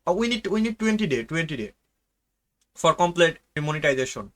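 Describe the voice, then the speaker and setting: Man pitching services, agreeable, broken grammar, slightly high voice. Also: very animated, fairly quick, fairly bright tone. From Bangladesh. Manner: agreeable, broken English grammar, says "okay, sure" a lot. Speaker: agency representative. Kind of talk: sales call.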